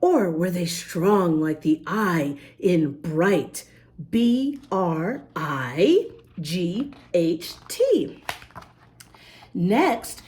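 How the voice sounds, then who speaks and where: Slow, animated woman reading to children. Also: on the low side. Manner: animated, addresses young listeners, spells words out letter by letter. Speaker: children's story reader, host. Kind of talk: read-aloud picture book storytelling for children.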